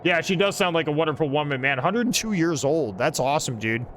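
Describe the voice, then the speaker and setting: A fairly high-pitched man in his forties talks through a match in a loose, rambling way, now and then joking with a friend. Soft game music plays faintly behind him. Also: lively intonation, fairly quick. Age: 40s. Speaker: gaming channel host. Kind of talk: casual gameplay commentary.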